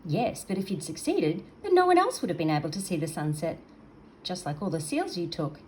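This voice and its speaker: A woman's voice: fairly low pitch, big pitch swings, brisk, a little mellow, a little hiss or hum, rough recording. A woman voicing a small, earnest male seal from a storybook, timid but brave, with a pleading manner. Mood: pleading, reasoning.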